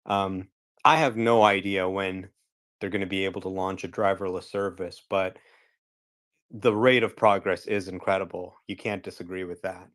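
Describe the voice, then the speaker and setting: A man co-hosting a live chat, giving relaxed commentary and thinking aloud with fillers. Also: sits low, normal speed. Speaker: co-host. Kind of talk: casual chat, opinionated commentary.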